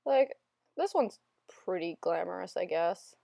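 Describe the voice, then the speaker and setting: Young woman, casual tutorial voiceover, slightly hoarse, lowish and gravelly, rambling and self-deprecating. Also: lively intonation, a little slow, mellow, no echo, studio quality. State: slightly hoarse, recovering from illness. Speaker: beauty vlogger.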